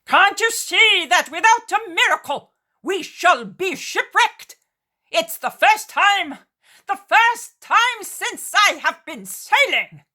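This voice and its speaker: A woman voicing a furious male ship captain who shouts curses in a storm-tossed audiobook reading. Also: extremely high pitch, lively intonation, takes it slowly, pitched above the performer's own voice, full character voice.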